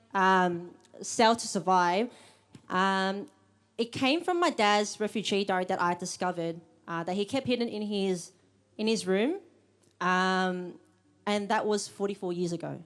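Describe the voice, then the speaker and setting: A young woman hosting a live event, chatty and a bit nervous, with lots of ums. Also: mid-range voice. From Australia. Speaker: event host. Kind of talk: live event welcome speech. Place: event room with audience.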